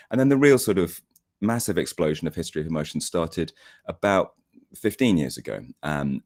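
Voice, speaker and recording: A man with a hoarse, croaky voice from a cold, giving an academic seminar talk over a video call, a little hesitant. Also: extremely low pitch. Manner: hesitant, repeats words, self-deprecating. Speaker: guest lecturer.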